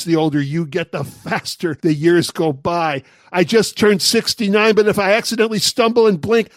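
High-voiced man in his sixties, dry sound. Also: no echo. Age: 60s. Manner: wry, sarcastic punchlines. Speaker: commentary host. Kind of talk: talk show monologue.

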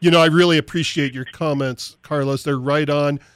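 A man's voice: fairly high pitch, steady tone, normal speed, smooth. A man hosting a talk radio show, delivering opinionated commentary and reading listener emails aloud in an emphatic way. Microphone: studio microphone.

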